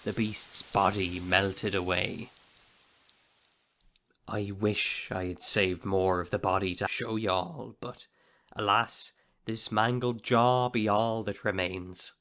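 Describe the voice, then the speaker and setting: Bright, forceful, boastful captain. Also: male voice, fairly low pitch, fairly even intonation, slow pace. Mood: showy. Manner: grandiose, pompous, dramatic storytelling. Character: boastful, swaggering young upstart pirate captain. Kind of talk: audio play acting.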